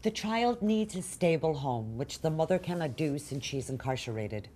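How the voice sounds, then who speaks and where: A woman's voice: deep voice, animated, moderate pace, mellow, slight background noise. An actress playing an indignant, combative lawyer arguing her case in a filmed courtroom drama.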